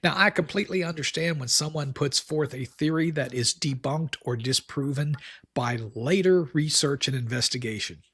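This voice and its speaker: A man hosting a podcast, delivering semi-scripted commentary in a folksy, wry manner with deliberate phrasing. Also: a little high, vocal fry, a bit bright, slightly rough, no echo, clean studio sound. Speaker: podcast host.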